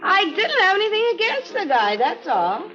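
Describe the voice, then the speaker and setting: A woman's voice: very high voice, big pitch swings, normal speed. An American actress playing a boozy, evasive young woman in a 1950s radio crime drama, wheedling, coaxing and pleading. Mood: pleading, upset. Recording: muffled old radio recording with music.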